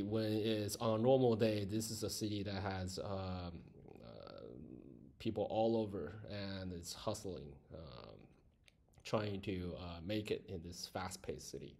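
A man's voice: on the low side, darkish tone. Man vlogging on a walk through city streets. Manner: halting, many fillers and self-corrections. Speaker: travel vlogger. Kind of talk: casual walking vlog narration. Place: city streets, mall and subway station.

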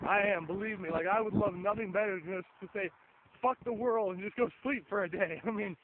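A man's voice: high-pitched, a little fast. A tired young man losing his voice, rambling casually while walking outdoors with a phone at arm's length. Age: young adult. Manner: rambling, filler-heavy, swears casually. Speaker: vlogger. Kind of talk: casual vlog. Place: outdoors, walking along a windy suburban road. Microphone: phone held at arm's length, earphone mic. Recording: tinny phone-like sound with wind noise.

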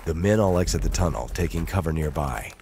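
A man's voice: very deep voice, animated, a little fast, mellow, slight background noise. A man reading dramatic scripted narration as the narrator of an audio drama. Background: music and sound effects.

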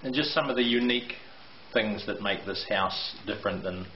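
A middle-aged man with a fairly low voice talks through a renovation walkthrough, pausing often with "um" and "uh" as he explains. He is recorded on a handheld camera mic in a bare brick basement that sounds roomy. The audio is dull and lo-fi with a faint hiss underneath. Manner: explanatory, hesitant with fillers. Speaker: renovation contractor. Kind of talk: walkthrough video tour.

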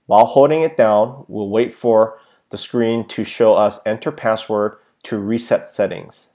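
A man's voice: lowish voice, unhurried, dark tone. A man giving a plain, methodical step-by-step how-to narration, with frequent filler sounds, as a tech tutorial presenter.

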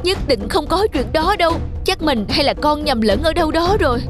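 A woman voicing a gentle, devoted young wife and mother in an animated folk tale, tender and pleading. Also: sits high, a little fast, voice pushed up. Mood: pleading innocence. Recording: music under the voice.

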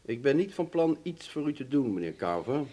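A bitter employee in a radio play. He speaks at a medium pitch in short, resentful phrases. His manner is defiant and grim. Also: very animated, normal speed, dark tone. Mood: terse, defiant. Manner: terse, resentful. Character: bitter, defiant male castle employee who has resigned. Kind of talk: radio drama acting.

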